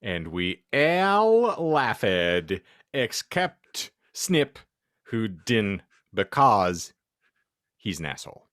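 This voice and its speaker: A man's voice: lowish voice, big pitch swings, drawn-out words, fairly bright tone, no room sound, studio quality. A man hosting a podcast, giving a comic, mock-serious dramatic reading of fan fiction. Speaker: podcast host and reader.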